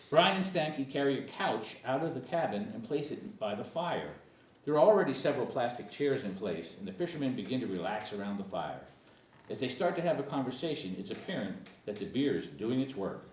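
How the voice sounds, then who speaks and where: Male narrator, screenplay table read, lively intonation, medium pitch, roomy echo, slightly noisy. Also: a little fast. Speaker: narrator reading stage directions. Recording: echoey room, distant mic, faint noise.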